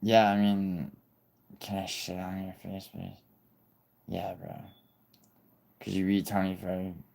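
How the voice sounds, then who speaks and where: A man's voice: low voice, monotone, measured pace, creaky, darkish tone. A young man hosting a casual livestream, rambling, swearing and reading viewer chat aloud. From born in Italy, raised in New York City. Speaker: livestream host.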